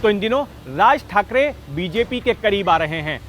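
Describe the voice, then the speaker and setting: A man's voice: sits high, lively intonation, normal speed, a bit bright. A man narrating a scripted political news explainer in an emphatic storytelling manner. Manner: emphatic storytelling with rhetorical questions. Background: background music.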